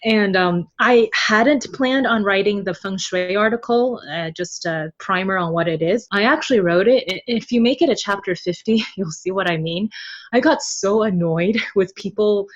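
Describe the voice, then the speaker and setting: A woman's voice: medium pitch, moderate pace, dull audio. A woman speaking as an interview guest in casual, candid talk, expressively mimicking other people's reactions. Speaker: podcast guest, novel translator.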